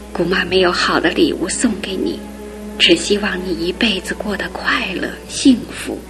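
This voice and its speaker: An actress playing a gentle older aunt who raised her niece, speaking softly and tenderly in a half-whisper over music. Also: fairly low pitch, unhurried. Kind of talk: 1970s radio drama acting.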